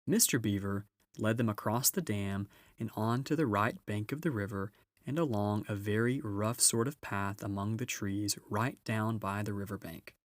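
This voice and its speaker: Low-voiced man reading a storybook. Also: normal speed, no room sound. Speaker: storybook reader. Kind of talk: expressive scripted narration for children.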